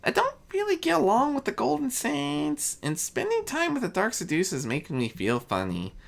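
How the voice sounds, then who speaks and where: A woman's voice: sits high, gravelly, pitched above the performer's own voice, heavily acted. A woman voicing a mischievous, boastful young male chaos spirit in a story, grumbling and bragging offhandedly. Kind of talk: fan fiction story reading.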